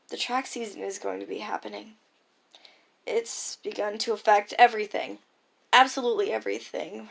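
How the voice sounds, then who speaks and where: A woman's voice: medium pitch, creaky. A teenage girl recording a casual, reflective audio diary, musing hesitantly and trailing off at times. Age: teenager, high school freshman. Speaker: personal audio diarist.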